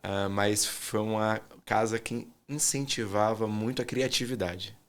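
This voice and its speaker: A man from Salvador with a Bahian accent, a guest artist speaking thoughtfully and softly in an interview, often pausing with fillers. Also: fairly low pitch, steady tone. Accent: Bahian Portuguese. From Salvador, Bahia, Brazil. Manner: soft-spoken, thoughtful, trails off with fillers. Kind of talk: reflective interview conversation.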